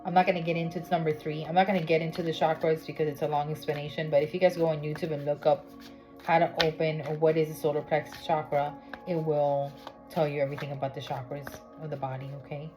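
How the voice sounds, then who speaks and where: A low-pitched woman from Miami talks through a tarot reading in a loose, chatty way, often stopping to check in with her viewers. Music plays clearly behind her. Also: little pitch movement, quick pace, rough recording. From Miami, United States. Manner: chatty, with many fillers and "okay?" check-ins. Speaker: tarot reader. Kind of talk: casual spiritual reading vlog. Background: background music.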